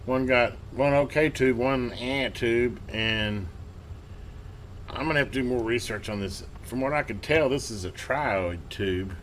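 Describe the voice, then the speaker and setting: A man with a medium-pitched voice talks casually through hands-on hobby work, thinking aloud as he goes. His delivery is folksy and colloquial, with halting stops and trailing pauses. It is an amateur home recording with clear noise behind the voice. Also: a bit bright. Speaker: hobbyist host restoring and testing old radios. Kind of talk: unscripted workbench narration, casual talk.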